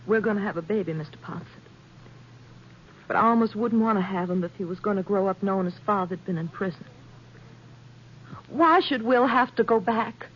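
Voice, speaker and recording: An actress playing an anxious, devoted young rancher's wife in a 1950s radio western, earnest and faltering with emotion. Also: female voice, medium pitch, normal speed, pauses often, natural voice. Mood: pleading. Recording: dull, noisy 1950s radio recording.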